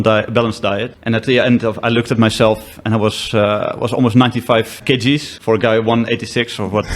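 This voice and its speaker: Dutch guy in his 30s, easygoing podcast guest, restarts sentences a lot, mid-pitched voice. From Netherlands. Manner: relaxed, self-deprecating storyteller with restarts. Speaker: guest, company CEO. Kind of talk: casual podcast conversation.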